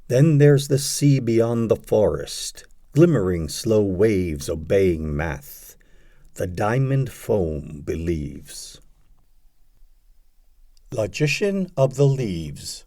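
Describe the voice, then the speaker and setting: A man narrating a poetry audiobook, reciting scripted verse in a measured, deliberate way. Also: mid-range voice, mellow, no echo, clean studio sound.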